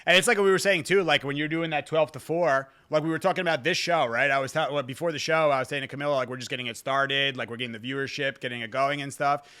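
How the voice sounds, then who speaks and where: Bright, clear-voiced man hosting casually. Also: mid-range voice, steady tone, quick pace, hardly any pauses. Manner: hyped, slangy, profane. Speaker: podcast host. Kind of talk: casual interview chat.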